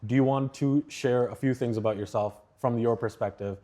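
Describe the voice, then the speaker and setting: A man's voice: medium pitch, steady tone, normal speed, dark tone. A man hosting a university event, introducing the guest on stage with some hesitant fillers before his first question. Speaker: event host.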